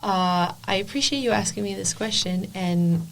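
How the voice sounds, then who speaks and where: A woman's voice: medium pitch, normal speed, gravelly, poor audio. Woman, mid-range voice, podcast guest, emphatic, repeats her points. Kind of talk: casual discussion.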